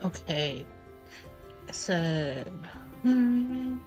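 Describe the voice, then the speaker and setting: A woman with a slightly low voice talks slowly as a player in a tabletop game. She muses out loud in a casual way, working through her choices over background music. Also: big pitch swings. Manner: musing, thinking out loud.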